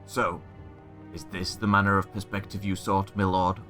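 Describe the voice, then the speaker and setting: Man acting a low, grim fantasy army captain. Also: little pitch movement, moderate pace, bright, forceful tone, soft background music. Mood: bitter, weary. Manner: terse, grim, formal military address. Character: grieving, stubborn wounded militia captain, bitter for revenge. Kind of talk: fantasy audio drama acting.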